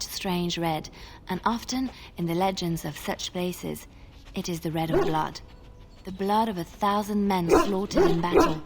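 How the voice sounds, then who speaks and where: An actress playing a gentle, wistful young woman with a lyrical storytelling manner in a fantasy game. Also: fairly low pitch, background noise. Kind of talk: fantasy video game dialogue.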